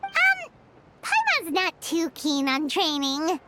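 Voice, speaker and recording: A woman's voice: wildly swooping pitch, slow pace, heavy background noise, full character voice. A woman voicing a tiny, eager female fairy companion in a video game, who talks about herself in the third person. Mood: chirpy. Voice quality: high squeaky childlike voice. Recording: game audio with music behind.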